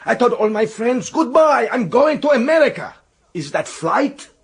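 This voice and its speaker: A man's voice: very high voice, big pitch swings, normal speed, husky, full character voice. An actor playing an indignant, defensive male murder suspect, speaking in clipped, emphatic phrases in a radio drama. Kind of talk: radio crime drama acting.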